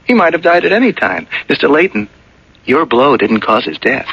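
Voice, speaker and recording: An actor playing a frank, matter-of-fact doctor in a radio drama, with a husky voice. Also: male voice, a little high, sing-song, normal speed, fairly bright tone, no room sound, heavily acted. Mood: reassuring, firm. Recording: dull old radio broadcast.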